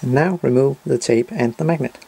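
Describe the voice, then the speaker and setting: A man's voice: medium pitch, brisk, vocal fry, dark tone, husky. A man narrating a step-by-step DIY tutorial in short, simple, matter-of-fact instructions.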